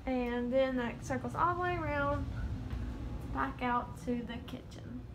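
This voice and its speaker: A woman's voice: sits high, unhurried, background noise. Chatty young woman vlogging. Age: young adult. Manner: chatty, upbeat, filler-heavy. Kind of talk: casual vlog tour. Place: rental condo indoors. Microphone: handheld phone at arm's length.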